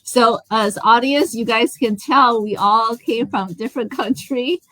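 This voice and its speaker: A woman's voice: a little high, measured pace, sharp tone, dry recording, low-quality recording. A woman from Taiwan hosting a live online interview. She talks in a chatty way, with many fillers and restarts. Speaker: host.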